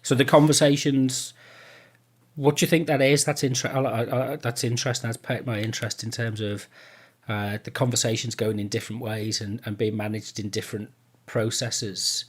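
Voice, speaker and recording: A man's voice: mid-range voice, little pitch movement, normal speed, husky. A British man hosting a casual podcast chat, rambling with repeated words and often chuckling. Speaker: podcast host. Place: remote online call.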